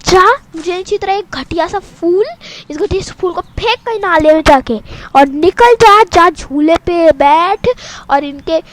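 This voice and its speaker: A woman's voice: very high voice, expressive, unhurried, few pauses. A woman doing a ranting bossy older sister. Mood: furious. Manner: shouting, ranting. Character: bossy older sister, hot-tempered girl.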